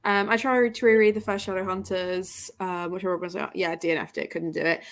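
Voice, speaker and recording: A woman's voice: on the low side, fairly even intonation, brisk. Self-deprecating woman chatting on a livestream. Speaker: host of a book livestream with guests. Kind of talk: casual livestream chat, reading out comments.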